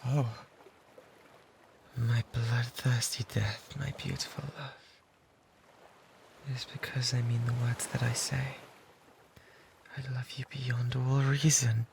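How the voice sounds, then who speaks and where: A man acts out a lovesick British pirate, utterly devoted and self-abasing, in an intimate ASMR roleplay. His mid-pitched voice pleads with breathy fervour close to the mic, over noticeable background noise. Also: flat delivery, slow, pauses often. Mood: tender, aching. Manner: fervent, breathy pleading that often drops toward whispers. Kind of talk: romantic ASMR roleplay acting. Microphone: close binaural microphone.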